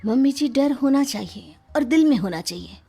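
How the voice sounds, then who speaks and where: A woman's voice: high voice, lively intonation, normal speed, creaky, warm tone, faint music behind. A woman voicing a bold, playful young daughter-in-law who talks like a film action hero, cheeky and dramatic, in a cartoon story. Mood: swaggering. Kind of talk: cartoon moral story acting.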